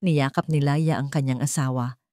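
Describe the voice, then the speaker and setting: A woman narrates a sentimental tale in a low, soft-edged voice. Her delivery is measured and earnest, like a storyteller reading from a script, and the sound is very dry and close. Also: steady tone, no echo.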